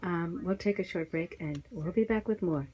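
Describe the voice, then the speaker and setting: A woman hosting a radio talk show in a studio, brisk and guiding, often cutting in with short remarks. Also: fairly low pitch, darkish tone, slightly rough. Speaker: radio host.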